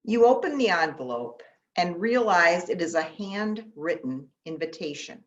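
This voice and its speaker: Slow-paced woman guiding a meditation. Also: lowish voice, lively intonation. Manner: unhurried, gentle instructions with long pauses. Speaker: pastor leading guided meditation. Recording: dull, lo-fi sound.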